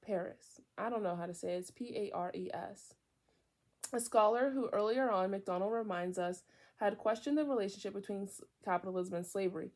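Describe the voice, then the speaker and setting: A young woman from Virginia reading a book aloud in a casual way, often drifting into chatty side remarks, with a high and often loud voice. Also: fairly quick. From Virginia, USA. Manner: chatty, digressive, mixes reading with asides. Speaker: book reader. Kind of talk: casual read-aloud with tangents.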